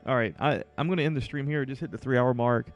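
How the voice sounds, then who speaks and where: A man's voice: mid-range voice, fast, highs cut off, soft background music. A man in his mid-thirties chatting casually with viewers as a video game livestreamer, in an informal, conversational way. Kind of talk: casual chat with stream viewers.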